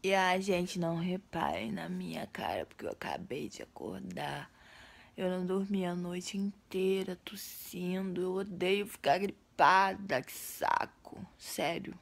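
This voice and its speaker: Hoarse, gravelly young Brazilian woman. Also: fairly low pitch. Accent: Brazilian Portuguese. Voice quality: often drops to a breathy half-whisper. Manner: relaxed, chatty, drawn-out words. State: hoarse from a cold. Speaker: vlogger. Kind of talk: casual vlog chat to camera. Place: small bedroom indoors. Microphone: phone or webcam at arm's length.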